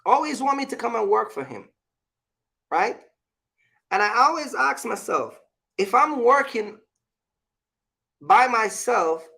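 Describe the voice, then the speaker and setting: A man's voice: sits high, gravelly, a bit bright. A man talking casually as a vlog host, giving business advice and personal stories in an emphatic, repetitive way, often checking in with the listener.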